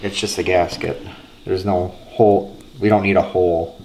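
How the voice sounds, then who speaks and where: A man's voice: low voice, level tone, normal speed, warm tone. A man casually explaining hands-on repair work as he does it. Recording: echoey room with background noise and clicks.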